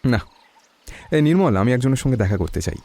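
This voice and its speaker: An actor playing a calm young detective in a Bengali audio story, asking questions in a measured, inquisitive way. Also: male voice, mid-range voice. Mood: calm, probing.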